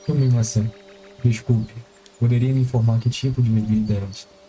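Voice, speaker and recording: An actor playing a wary, solemn, courteous outsider samurai, narrating formally in the first person in an audio drama, with a Brazilian accent. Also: male voice, medium pitch, fairly even intonation, moderate pace, warm tone, soft background music, close to an everyday voice. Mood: polite. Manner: formal, restrained.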